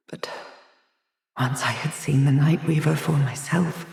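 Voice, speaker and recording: A mad, haughty lady doctor from a video game, shut away in an asylum. She speaks slowly and theatrically in learned phrases, swinging between whispers and shrill outbursts. The sound is roomy and echoing, set back from the microphone. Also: warm tone, far from the mic. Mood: haunted, confiding. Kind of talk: dramatic video game character acting.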